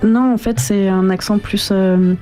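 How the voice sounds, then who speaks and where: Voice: medium pitch, fairly even intonation, a little slow, dark tone. A radio co-host presenting music in a casual, unscripted way, chatty and informal with a hesitant delivery.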